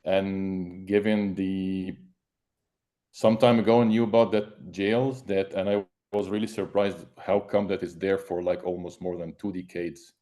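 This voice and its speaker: Low, dark-voiced man. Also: little pitch movement. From Egypt. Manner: halting, searching for words. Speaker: meeting participant, software developer. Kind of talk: casual self-introduction on a video call. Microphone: video call.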